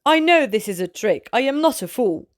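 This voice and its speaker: Grudging, blunt storybook buffalo, voiced by a woman, indignant and lively. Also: fairly high pitch, normal speed, dry recording, studio quality. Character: heavy grudging male buffalo.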